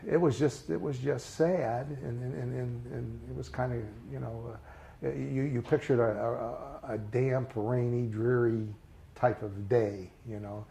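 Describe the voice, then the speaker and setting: An older man reminiscing in a casual interview, speaking haltingly with many fillers and restarts. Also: mid-range voice, fairly even intonation, gravelly, dark tone, a little hiss or hum. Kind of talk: recalled personal memories in a casual interview.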